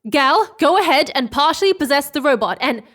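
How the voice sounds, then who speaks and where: An urgent, decisive expedition leader in a sci-fi audio drama. Her voice is high, and she speaks like someone used to giving orders under pressure. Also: lively intonation, a bit bright. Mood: urgent, commanding. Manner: commanding, hurried.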